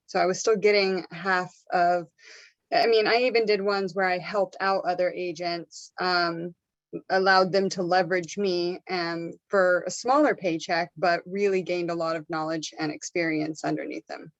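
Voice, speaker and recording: A woman's voice: fairly low pitch, fairly even intonation, moderate pace, no room sound, muffled recording. A woman sharing her own experience as a real estate agent in a group discussion.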